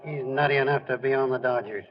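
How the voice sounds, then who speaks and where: An actor playing a baffled, wry teammate in a 1940s style radio drama. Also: male voice, mid-range voice, steady tone, fast, loud music behind the voice, close to an everyday voice. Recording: dull old radio recording, crowd behind.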